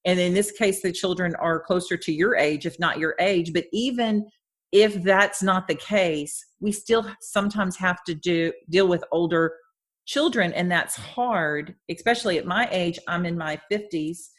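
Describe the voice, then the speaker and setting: A woman's voice: fairly low pitch, measured pace. A woman in her fifties hosting a podcast interview, chatting in a rambling, friendly way with frequent restarts and easy laughter. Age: 50s. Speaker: podcast host. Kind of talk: casual interview chat.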